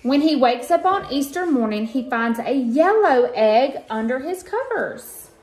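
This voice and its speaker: A woman's voice: high-pitched, animated, a little slow, a little mellow. An American woman in her thirties reading a picture book aloud and chatting casually to children, with sing-song emphasis. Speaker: read-aloud host. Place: small room at home. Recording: roomy echo, faint background noise.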